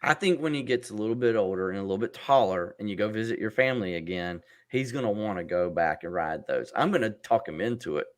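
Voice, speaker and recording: A man hosting a casual chat over a video call, talkative and quick to laugh, asking his guests questions. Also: fairly low pitch. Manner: chatty, laughs often, asks questions. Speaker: podcast host.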